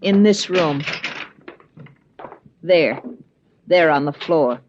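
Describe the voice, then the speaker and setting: Mysterious lady, 1950s radio drama: measured, formal, cool, dull vintage sound. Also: low voice, animated, a little mellow, slight background noise, heavily acted. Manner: formal, measured, coolly precise. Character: coolly formal, exotic, enigmatic woman antiques expert. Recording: muffled old radio recording.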